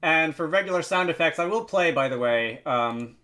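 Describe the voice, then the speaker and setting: A man narrating a software tutorial in a casual, informal way, pausing often as he explains. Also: on the high side, lively intonation. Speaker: tutorial host.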